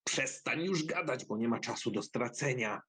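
A man's voice: medium pitch, animated, slightly rough. A man voicing a scheming, commanding sorcerer who poses as a kindly uncle in an audio fairy tale, speaking with deliberation. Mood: stern, impatient. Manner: slow, deliberate instructions turning stern or falsely gentle.

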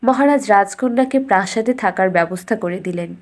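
A woman's voice: mid-range voice, a little fast. A woman storyteller reading a scripted fairytale narration for a cartoon bedtime story.

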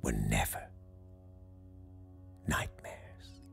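A podcast host and storyteller giving dramatic, measured scripted narration, with a gravelly voice that drops into hushed tones. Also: faint music behind. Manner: theatrical, measured.

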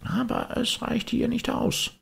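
Whiny high-pitched little tin soldier. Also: male voice, a little slow, gravelly, husky, full character voice. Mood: wistful, chattering. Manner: plaintive, lamenting, theatrical. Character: small, whiny, restless, lonely tin soldier. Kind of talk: storybook character voice.

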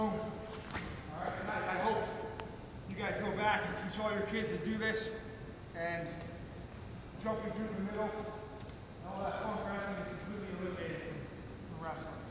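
Wrestling coach in a booming arena. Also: male voice, noisy background. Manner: instructive, repeats "all right" often. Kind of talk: clinic demonstration. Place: large gym arena with wrestling mat. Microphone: far camera. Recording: echoey arena from far off.

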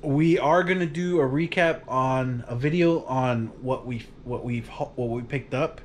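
Relaxed man chatting on his couch. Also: mid-range voice, measured pace, slight background noise. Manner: relaxed, rambling, laughs often. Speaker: vlog host. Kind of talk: casual chat to camera. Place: living room at home, on a couch. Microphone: camera across the room.

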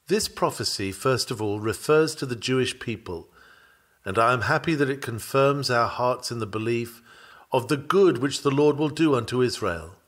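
A man reading a sermon aloud in a measured, solemn and formal manner. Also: mid-range voice. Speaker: sermon reader. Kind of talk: read-aloud sermon narration.

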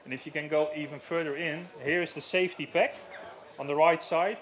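A man's voice: fairly high pitch, fairly even intonation, fairly quick, a bit bright. A man in his thirties with a Dutch accent, giving a live stage demonstration in a marquee on a headset mic, explaining plainly with many fillers. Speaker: safety equipment rep. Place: large marquee at outdoor show.

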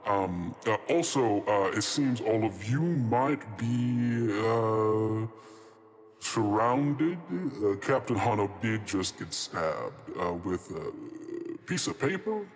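A chatty, evasive trickster spirit from a tabletop roleplay, acted by a man. The voice sits fairly low and trails a long reverb. He is courteous and hesitant, forever hedging his way around an answer. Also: lively intonation, slow pace, a little hiss or hum. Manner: hesitant, rambling, politely hedging.

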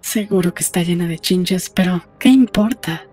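A woman's voice: fairly low pitch, moderate pace, a little mellow, husky, soft background music. A woman playing a playful, defiant young woman in love in a dramatised audiobook, speaking with intimate assurance. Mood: carefree.

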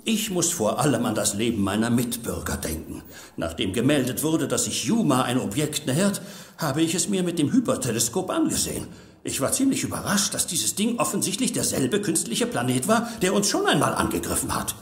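An actor playing an eccentric, devoted scientist in an audio drama, hesitant, rambling and formally polite. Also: male voice, mid-range voice, big pitch swings, brisk, echoey room, close to an everyday voice. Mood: earnest, eager. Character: eccentric, devoted male scientist, easily sidetracked. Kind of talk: German audio drama acting.

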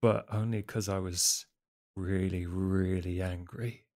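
A man role-playing a troubled, uncertain male vampire in a tabletop game, speaking in a halting, hesitant way. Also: deep voice, fairly even intonation, darkish tone. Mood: troubled, unsure. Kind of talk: improvised tabletop role-play acting.

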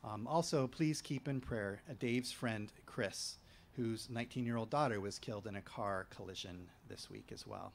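A middle-aged man, the pastor, leading prayers in a measured, gentle way into a stand mic at an outdoor church service. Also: on the low side, big pitch swings, gravelly, a little hiss or hum. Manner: measured, gentle liturgical delivery. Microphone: stand mic at a lectern.

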